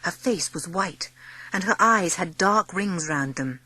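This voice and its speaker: Husky woman narrator, close and dry. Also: lowish voice, expressive, a little slow, pushed and bright, dry recording, rough recording. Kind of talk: scripted storybook narration.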